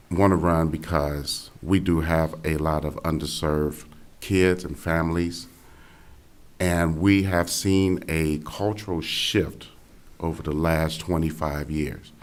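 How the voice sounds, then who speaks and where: A man from Gary, Indiana, hoarse and raspy, giving an earnest, halting speech as a school board candidate at a forum. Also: a bass voice. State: hoarse from cheering.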